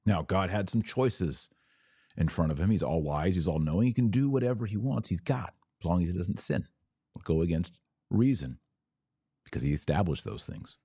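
Male podcast host, low voice with lively swings, emphatic religious explainer, punches key words. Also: moderate pace, breathy edge, no echo, clean studio sound. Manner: emphatic, repeats key words for stress, rhetorical questions.

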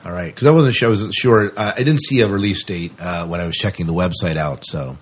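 A man's voice: sits low, brisk, husky. A man hosting a podcast, chatting casually and putting questions to a guest. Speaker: podcast host, interviewer. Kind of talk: casual interview chat.

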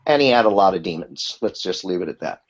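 Chatty lower-voiced man, muffled audio. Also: animated, fast talker, husky. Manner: chatty storytelling, candid, rambling with restarts. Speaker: voice actor guest. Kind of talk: casual interview talk.

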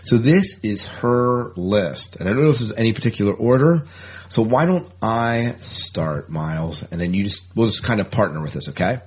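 A man's voice: fairly low pitch, expressive, normal speed, breathy edge. A man hosting a podcast in a casual, informal, easygoing chat style. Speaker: podcast host.

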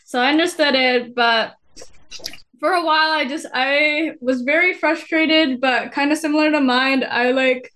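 A soft-spoken woman from the Philippines, a podcast guest chatting in a relaxed way with many fillers. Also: sits high, a little slow. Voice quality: soft-spoken, not a loud voice. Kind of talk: casual conversation.

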